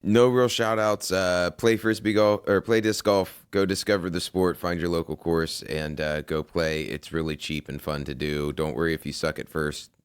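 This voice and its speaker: A man's voice: deep voice, fairly even intonation, moderate pace, hardly any pauses, clean tone, no echo, studio quality. A man, a guest and former radio broadcaster, chatting animatedly with lots of fillers and loose swearing. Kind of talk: casual chat.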